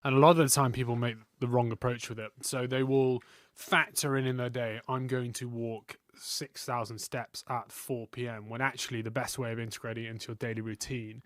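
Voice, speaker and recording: A man's voice: mid-range voice, flat delivery, fairly quick, a bit bright, no echo, studio quality. A man who works as a personal trainer, co-hosting a casual podcast, chatty and teasing with frequent tag questions.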